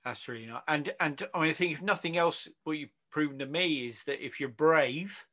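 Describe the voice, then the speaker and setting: A man's voice: on the high side, moderate pace, pushed and bright. A man hosting a casual interview over a video call, rambling, affirming and teasing as he goes. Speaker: interview host.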